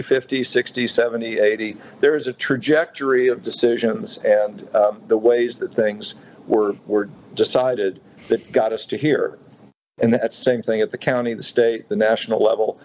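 A man in his fifties from Utah, a restaurateur speaking as a guest in a radio interview, thoughtful and halting with many fillers and restarts. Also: mid-range voice, a little mellow, highs cut off, a little hiss or hum. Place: radio studio.

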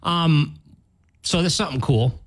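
A man's voice: a little high, measured pace. A man with an American accent co-hosting a morning radio show, chatting casually in a rambling way and often correcting himself mid-sentence. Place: radio studio.

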